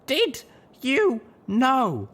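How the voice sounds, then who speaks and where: A righteous young mare seethes with dangerous anger, acted out by a male reader. The voice sits far above a man's usual pitch and swings widely, moving between raging shouts and growled threats in a dramatic audio story. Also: wildly swooping pitch, drawn-out words, exaggerated voice. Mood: cold rage.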